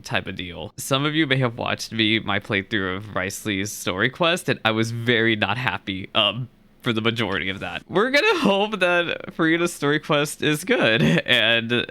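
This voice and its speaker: A man's voice: lowish voice, sharp tone, no echo. A young man hosting a gaming reaction video with informal, rambling commentary.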